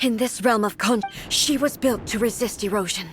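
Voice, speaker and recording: A woman voicing a resolute, dutiful warrior goddess in a video game, speaking in a calm, measured way with quiet sighs. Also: fairly high pitch, close to an everyday voice. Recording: game audio with music behind.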